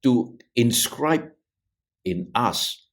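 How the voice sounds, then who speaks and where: A middle-aged man from Malaysia, a theologian on a discussion podcast, talking in a halting way with frequent restarts and repeated words. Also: medium pitch, expressive, fairly bright tone. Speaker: theologian panelist. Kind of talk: thoughtful discussion on a video podcast.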